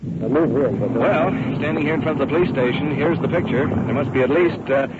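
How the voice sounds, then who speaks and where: A man's voice: on the high side, normal speed, phone-quality sound, very noisy. A man reporting live as a radio host, narrating off the cuff from a police ride-along on an old field recording. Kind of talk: unscripted on-scene radio narration. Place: outdoors and in a police car. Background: vehicles and police radio.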